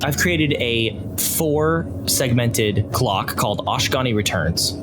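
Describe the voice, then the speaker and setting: A male game master narrates scenes slowly and deliberately. His pitch is medium. Loud music runs under the narration. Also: expressive.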